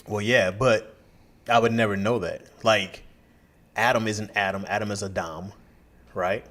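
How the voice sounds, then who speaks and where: A man co-hosting a podcast in casual, animated chat, often acting out the people he quotes. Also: fairly low pitch, measured pace. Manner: animated, acts out quoted exchanges. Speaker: podcast co-host.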